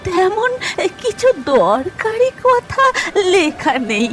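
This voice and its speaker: A woman voicing a sorrowful, gentle old beggar mother in a horror cartoon, pleading in drawn-out phrases. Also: very high-pitched, big pitch swings, heavily acted. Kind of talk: cartoon horror story acting. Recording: music behind the voice.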